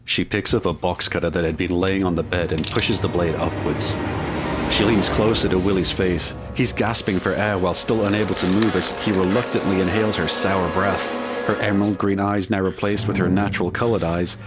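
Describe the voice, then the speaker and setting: A man's voice: low-pitched, steady tone, fairly quick, over loud music. A man giving scripted narration for an audio drama.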